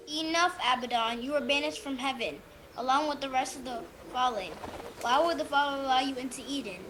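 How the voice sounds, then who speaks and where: A woman playing a dutiful, watchful guardian angel with composed, deliberate delivery in a radio play. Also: sits high, natural voice. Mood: stern. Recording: very noisy home recording, ambience.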